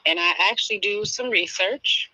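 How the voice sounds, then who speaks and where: A diplomatic panelist with a very bright, crisp-edged voice and a dry sound. The phrasing is deliberate and measured, built in careful steps. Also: medium pitch, dry recording, poor audio. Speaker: panelist in a live audio discussion. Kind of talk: measured discussion.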